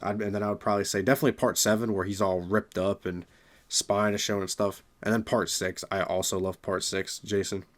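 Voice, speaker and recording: A young man with a raspy voice from a recent illness, talking casually to his viewers in a rambling way with many fillers. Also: on the low side, level tone, a little fast. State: raspy voice from getting over illness. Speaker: gaming video creator. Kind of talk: casual solo commentary.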